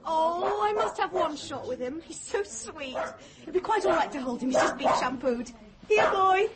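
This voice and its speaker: A woman's voice: extremely high pitch, expressive, normal speed. A woman playing a gushing lady who coos over a pet dog in a radio drama. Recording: very noisy scene with dog sounds.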